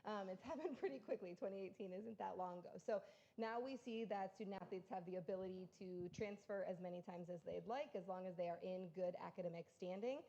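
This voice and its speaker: A woman's voice: mid-range voice, brisk, dark tone, highs cut off. A woman who researches college sport, moderating a conference panel in a friendly, organized way with frequent ums. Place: conference room at a university.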